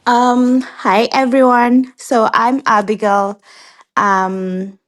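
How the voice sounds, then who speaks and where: A woman in her thirties from Zimbabwe, answering interview questions in a reflective way with frequent pauses and restarts. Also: a little high, little pitch movement. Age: 30s. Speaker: guest.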